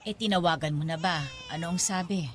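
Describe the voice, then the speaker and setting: A woman's voice: on the low side, a little fast, a little hiss or hum, lightly acted. An actress playing a concerned, fussing middle-aged mother in a Tagalog radio drama. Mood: concerned, exasperated.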